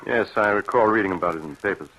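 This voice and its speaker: Man acting a calm, low-voiced doctor. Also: very fast, a little hiss or hum, lightly acted, rough recording. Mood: calm inquiry. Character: calm, probing doctor listening to a patient. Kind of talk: old-time radio drama acting.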